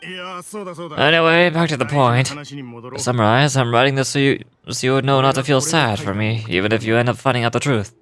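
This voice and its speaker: A man performs a rueful older father and desert chief from a video game. His mid-pitched voice is boastful yet wistful and tender, with sighs. Clear music plays behind him. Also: normal speed, a bit bright, lightly acted. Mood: grave confession. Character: doting older male desert gang leader, a rueful adoptive father. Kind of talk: video game character monologue reading a letter.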